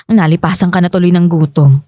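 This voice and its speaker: An actress playing a caring young breadwinner sister who scolds with exasperation in a radio drama. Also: female voice, fairly low pitch, fairly even intonation, fairly quick, dark tone, no room sound, close to an everyday voice. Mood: pitying. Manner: scolding yet caring, sighing exclamations. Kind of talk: radio drama dialogue acting. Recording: close dry studio, music underneath.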